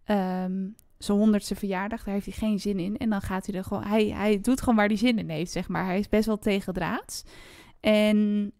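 A woman's voice: mid-range voice, fairly even intonation, normal speed, darkish tone, dry recording, studio quality. A woman in a casual, enthusiastic book discussion, speaking as a podcast host.

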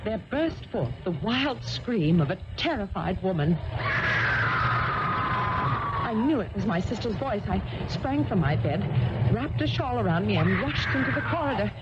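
An actress playing an uneasy young heiress recounting a dreadful night in a 1970s radio drama. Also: female voice, medium pitch, sing-song, measured pace, loud music behind the voice. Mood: tense, horrified. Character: uneasy young English heiress recounting her sister's death. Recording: dull, noisy archived radio broadcast.

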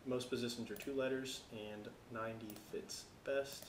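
Male tutorial presenter, American, informal think-aloud delivery, mid-pitched voice, roomy sound. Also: fairly even intonation, moderate pace, background noise. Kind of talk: casual software tutorial narration.

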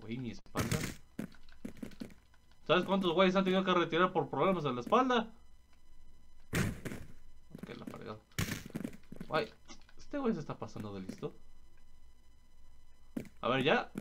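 Young man, Mexican accent, lively swooping pitch, casual gamer commentary, slangy and profane, some background game noise. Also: unhurried, long pauses. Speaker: gaming YouTuber.

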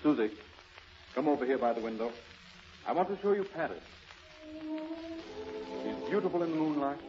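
Smitten, suave boss played by an actor, swooping intonation. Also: male voice, a little high, animated, moderate pace, close to an everyday voice. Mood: tender, amorous. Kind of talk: 1930s radio acting. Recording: muffled 1930s radio recording, faint music.

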